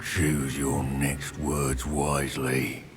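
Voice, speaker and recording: Very deep, menacing goblin villain. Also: male voice, little pitch movement, exaggerated voice. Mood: cold threat. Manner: slow, deliberate, threatening. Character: menacing male goblin rebel leader, cold and mocking. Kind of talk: dramatic video game acting. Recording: loud music behind the voice.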